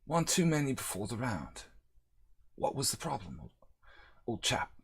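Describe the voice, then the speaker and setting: A man's voice: medium pitch, very animated, normal speed, vocal fry, breathy edge, pitched above the performer's own voice, heavily acted. A man putting on a posh British gent. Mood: teasing. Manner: mocking, clipped upper-class phrasing. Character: posh tipsy-teasing British gentleman, male adult. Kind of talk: joking put-on voice on a chess stream.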